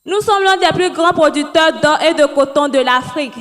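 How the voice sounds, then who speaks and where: A woman's voice: high-pitched, moderate pace, fairly bright tone. A young woman from Burkina Faso, giving a proud, formal welcome speech as a student. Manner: proud, declamatory. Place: hotel ceremony hall. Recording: echoey hall with music behind.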